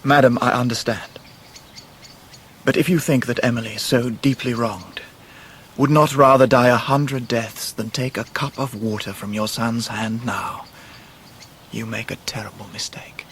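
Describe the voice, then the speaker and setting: An actor playing an earnest, courteous young Victorian gentleman with an English accent in a period radio drama. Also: mid-range voice, steady tone, measured pace, slight background noise. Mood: earnest urgency. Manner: polite, earnest, well-spoken.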